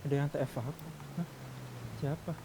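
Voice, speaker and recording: A man's voice: on the high side, fairly even intonation, a little fast, noisy background. A man with an Indonesian accent chatting casually during a roleplay game. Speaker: gamer in a GTA roleplay server.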